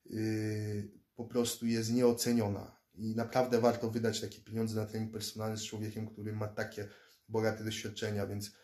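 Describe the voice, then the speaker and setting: A man in his thirties talks casually to his phone camera while seated indoors, with a low voice that stays flat and even. He rambles and often circles back, repeating words as he restarts his sentences. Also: moderate pace. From Poland. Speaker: fighter and self-defense instructor vlogger. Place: indoors, small room, seated in armchair. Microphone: phone or laptop camera close up.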